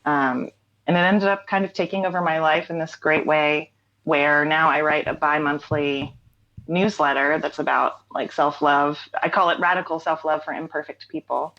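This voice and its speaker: A woman talking casually as an interview guest, relaxed, with frequent fillers and easy laughter. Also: low-pitched, moderate pace, muffled recording. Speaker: interview guest, writer and songwriter.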